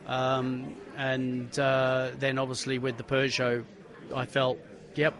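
Excitable man telling racing stories. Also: mid-range voice, slow. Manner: animated, repeats himself, many restarts. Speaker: racing driver guest. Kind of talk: casual interview storytelling.

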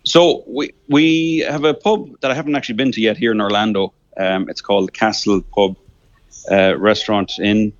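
An Irish man in his thirties phones in to a radio programme, talking casually and stumbling over his words with plenty of fillers and restarts. His voice sits low. The line sounds dull and muffled. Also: steady tone, moderate pace, no echo. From Ireland. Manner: hesitant, many restarts and fillers. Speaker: caller.

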